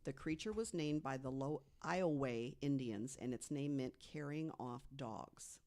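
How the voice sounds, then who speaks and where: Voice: medium pitch, a little slow, a little mellow. Podcast storyteller reading out strange accounts. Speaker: podcast panelist telling researched stories. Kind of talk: reading prepared true-mystery stories with conversational asides.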